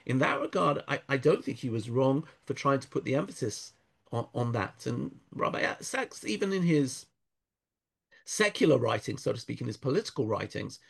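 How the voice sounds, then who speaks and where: A man speaking thoughtfully in an interview, pausing and restarting often, weaving in Hebrew verses. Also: medium pitch, big pitch swings, creaky, breathy edge. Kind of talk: conversational interview.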